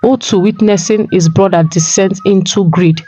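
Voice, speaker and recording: A woman narrates a folktale as a scripted story. Her pitch sits in the middle range, and music plays quietly behind her. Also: steady tone, moderate pace, husky.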